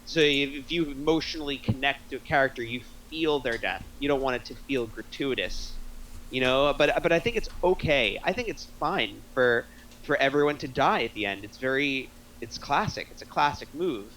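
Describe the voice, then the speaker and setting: A man giving casual, analytical feedback in a group discussion, often halting and repeating words as he works through his point. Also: medium pitch, lively intonation, fairly bright tone, a little hiss or hum, rough recording. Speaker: script reading participant giving notes.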